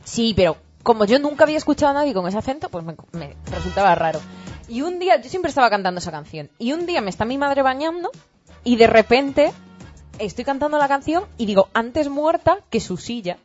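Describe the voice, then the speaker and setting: A woman from Madrid co-hosting a radio chat, telling a childhood story in a quick, chatty way. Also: fairly high pitch, very animated, dull audio, faint music behind. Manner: quick, chatty, plays along with jokes. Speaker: radio co-host. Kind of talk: casual banter, storytelling.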